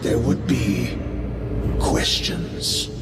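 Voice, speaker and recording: An actor playing a stern, solemn warrior-priest of a gothic space order in a video game cinematic, speaking gravely and ceremonially. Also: male voice, drawn-out words, recorded from a distance. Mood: stern, warning. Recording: echoing hall with loud music behind.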